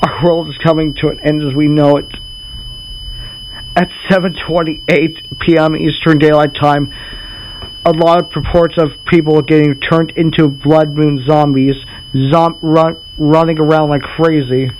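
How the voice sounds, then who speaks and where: A faint hum sits under a fairly high-voiced man acting as a government representative in an amateur emergency broadcast drama. He delivers a solemn doomsday farewell haltingly, repeating himself. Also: little pitch movement, a little mellow, lightly acted. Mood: grave, resigned. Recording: noisy home recording with hum.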